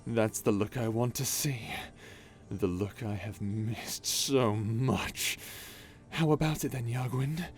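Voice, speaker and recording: A man playing a sinister, taunting space pirate villain in an audio roleplay, talking slowly with menace and mockery. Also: medium pitch, a little hiss or hum, natural voice. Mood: menacing, taunting. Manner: slow, menacing, mocking. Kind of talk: ASMR audio roleplay drama.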